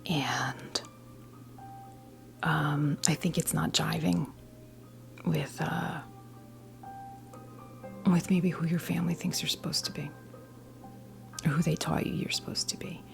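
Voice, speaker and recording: A woman in her fifties gives an ASMR tarot reading in a breathy whisper, halting often as she reassures the listener. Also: low-pitched, steady tone. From New Jersey, United States. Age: 50s. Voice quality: breathy whisper mixed with soft creaky voice. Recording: music playing behind the voice. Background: music.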